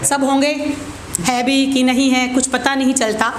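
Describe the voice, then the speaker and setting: A woman performing as a poet at an open mic, reciting verse with deliberate repeated lines and informal introductions. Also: high-pitched, flat delivery. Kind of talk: spoken Hindi-Urdu poetry recital with informal introductions. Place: open mic event room. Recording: echoey room with clear background noise.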